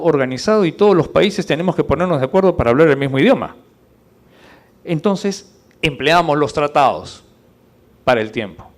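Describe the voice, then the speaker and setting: A man lecturing in public, didactic and rhetorical, with deliberate pauses, emphasis and questions to check that listeners follow. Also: medium pitch, a little mellow. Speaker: conference speaker.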